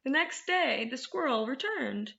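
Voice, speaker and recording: A woman hosting storytime as a children's librarian, expressive and encouraging as she reads and explains to little ones. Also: high-pitched, unhurried, vocal fry, slight background noise. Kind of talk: storytime read-aloud and teaching rhymes to children. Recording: echoey room, slightly muffled sound.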